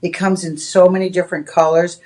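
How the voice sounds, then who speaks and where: A woman's voice: on the low side, flat delivery, a little fast, mellow. Chatty Boston woman selling on TV. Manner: chatty, rambling, with many "you know"s. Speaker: home shopping host. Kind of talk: live on-air sales pitch. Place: TV shopping studio.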